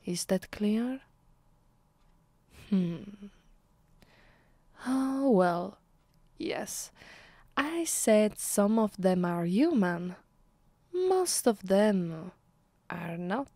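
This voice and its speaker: A woman with an Italian accent voicing a haughty, sadistic vampire mistress in a close-miked ASMR roleplay, soft and breathy, often dropping to a whisper, commanding and deliberate. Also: medium pitch, lively intonation, no echo, heavily acted. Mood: cool, commanding. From Italy. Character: haughty, sadistic female vampire mistress savoring her power. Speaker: voice actress. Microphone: close microphone.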